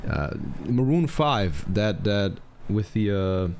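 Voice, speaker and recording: A man in his thirties talks in a low, deep voice. He speaks casually and rambles a little, with frequent fillers and restarts as he reacts to a song. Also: takes it slowly, gravelly, a little hiss or hum. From Belgium. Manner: relaxed and chatty, with fillers and restarts. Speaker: reaction video host.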